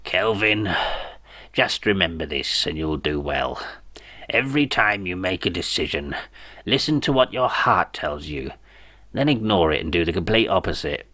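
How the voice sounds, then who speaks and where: A man voicing an ancient, feeble, dying evil dictator, slow and scornful, in a weak whispery voice broken by coughs. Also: deep voice, sharp tone, no echo, studio quality, voice pushed down, full character voice.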